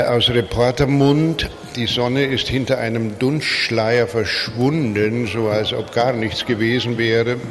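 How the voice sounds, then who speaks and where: A man giving amused, wry commentary with a hesitant, drawn-out delivery. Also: medium pitch. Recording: clear background noise.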